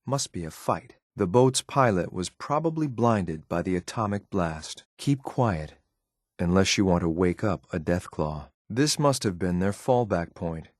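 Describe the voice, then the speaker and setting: Low-voiced, controlled synth enforcer. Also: male voice, animated, measured pace, no echo, studio quality. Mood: detached, observant. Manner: clipped, matter-of-fact, controlled, faintly condescending. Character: cool, efficient male synth enforcer, watchful and disdainful. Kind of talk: video game companion voice lines.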